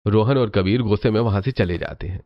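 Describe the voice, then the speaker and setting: A man with a fairly low voice reads scripted narration for a cartoon story. He serves as the storyteller. The sound is a little dull. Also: quick pace, no room sound.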